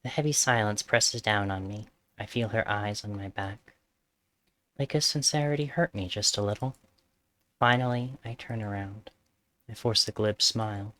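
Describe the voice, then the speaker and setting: A man reading a visual novel's first-person story aloud as live narration, with loud game music behind him. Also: on the low side, normal speed.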